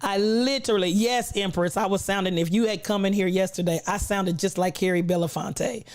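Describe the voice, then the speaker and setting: A woman's voice: medium pitch, a little fast. A woman hosting a casual livestream, greeting viewers in an effusive, affectionate, playful way, with a voice not quite back to full strength after losing it. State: recovering from a lost voice, not full voice. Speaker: livestream host.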